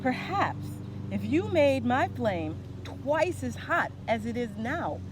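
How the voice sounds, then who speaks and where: A woman voicing a lonely, gentle male dragon in a storybook, speaking earnestly and plaintively. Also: on the high side, sing-song, slow, background noise, pitched above the performer's own voice. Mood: hopeful.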